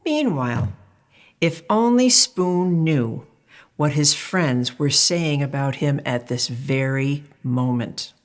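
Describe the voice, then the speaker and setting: Slow, expressive older woman storyteller. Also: deep voice. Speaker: children's storyteller. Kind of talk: expressive picture-book read-aloud.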